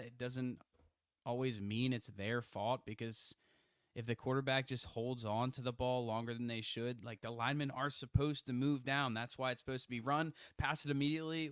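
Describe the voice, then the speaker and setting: A young man co-hosting a sports podcast, talking in a casual, rambling way with frequent restarts and bursts of excitement. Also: medium pitch, clean tone, no room sound, clean studio sound. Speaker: podcast co-host.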